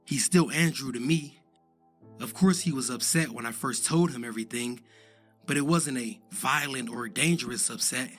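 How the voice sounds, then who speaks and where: A loyal friend gives testimony in a mid-pitched voice, as an actor plays him. He speaks plainly and sincerely. Faint music plays underneath. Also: normal speed, fairly bright tone, close to an everyday voice. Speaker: witness, lawyer friend.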